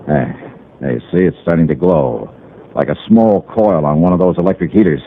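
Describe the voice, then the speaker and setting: A man's voice: extremely low pitch, expressive, moderate pace, exaggerated voice. An actor playing a confident, sardonic male insurance investigator who narrates a 1950s radio drama in a wry, assured way. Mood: confronting. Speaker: lead and narrator. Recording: tinny lo-fi old radio recording.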